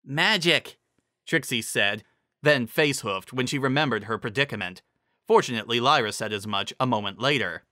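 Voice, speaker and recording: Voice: medium pitch, a little fast, pushed and bright, no room sound, studio quality. A narrator giving a dramatic, scripted reading of a fantasy story.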